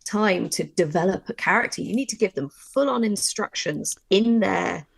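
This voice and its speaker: A woman's voice: medium pitch, expressive, normal speed, creaky, slightly rough, no room sound. A woman teaching a workshop in a conversational, informal way, speaking emphatically and insistently. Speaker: audio drama writer and workshop presenter.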